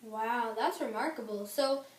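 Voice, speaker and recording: A woman's voice: on the high side, unhurried. A woman hosting a role-play interview in a cheerful, upbeat presenter manner, recorded in an echoey room from a distance. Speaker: interviewer host.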